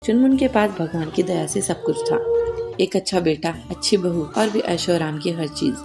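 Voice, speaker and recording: Lowish-voiced woman narrating a cartoon story. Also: normal speed, gravelly, over loud music. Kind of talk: scripted children's story narration.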